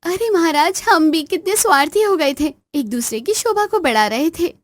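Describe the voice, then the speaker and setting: A woman plays a vain, proud cartoon queen. Her voice is raised and animated, with emphatic, haughty phrasing. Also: extremely high pitch, moderate pace, no room sound, voice pushed up. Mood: humbled. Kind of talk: Hindi moral-story cartoon dub.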